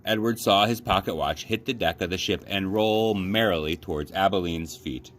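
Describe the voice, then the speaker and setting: A man reading a children's story aloud as an expressive narrator, like a librarian at story time. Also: low-pitched, moderate pace, slight background noise.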